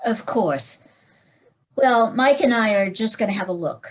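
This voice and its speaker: A woman playing a brisk, dry-witted male police inspector in a 1940s-style radio mystery. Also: a little high, animated, heavy background noise. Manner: brisk, dry, matter-of-fact.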